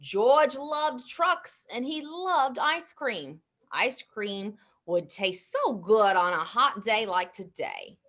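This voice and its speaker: A woman storyteller reads a bedtime story to children. Her voice sits fairly high and moves through wide, lively swings of pitch. She takes her time, giving each phrase expression at a slow pace. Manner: expressive, animated delivery. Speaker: storyteller reading aloud.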